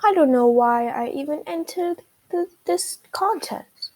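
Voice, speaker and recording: A woman's voice: high-pitched, animated, measured pace, darkish tone, voice pushed up. A woman voicing a glum, defeatist female pig who complains, in a children's storybook.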